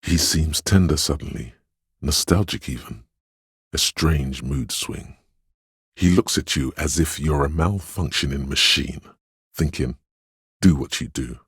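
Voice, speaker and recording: A man voicing a solemn, tender inner voice that reads others' feelings in a video game, measured and brooding in delivery. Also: a bit bright, dry recording, studio quality, exaggerated voice. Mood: brooding, gentle. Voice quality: deep, husky, gravelly. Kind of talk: scripted video game narration.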